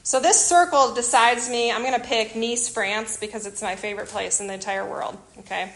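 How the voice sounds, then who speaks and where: A woman from Illinois with a Chicago accent, giving a chatty, animated church talk to a group about a missionary kids program. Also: a little high, moderate pace, poor audio. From Illinois, USA. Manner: chatty, animated, self-deprecating asides. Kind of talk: informal church presentation to a group.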